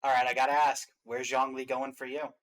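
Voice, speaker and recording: A man's voice: mid-range voice, little pitch movement, a little fast, a bit bright. A man hosting a casual game reaction and ranking show, talking in a chatty, opinionated, emphatic way.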